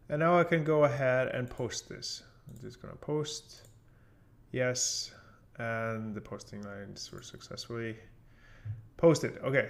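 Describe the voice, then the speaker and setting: A man talks through a screen-share software lesson in an informal, instructional style. His voice sits at an average pitch with a clearly gravelly, creaky edge. He explains each step in order, as a trainer would. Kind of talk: casual screen-share tutorial narration.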